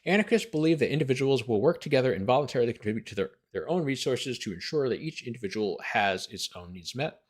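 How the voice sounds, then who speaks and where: A man's voice: mid-range voice, animated, fast talker, hardly any pauses. A man hosting as a lawyer, reading a document aloud and breaking off for incredulous, sarcastic commentary. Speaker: lawyer host.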